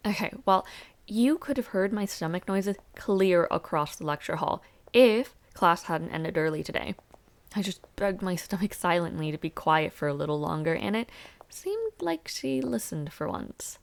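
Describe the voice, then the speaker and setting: A woman's voice: on the low side, animated, unhurried, vocal fry. A young woman playing a chatty, over-prepared university student in a roleplay audio drama, talking casually and a little hesitantly. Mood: relieved, hungry. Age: young adult.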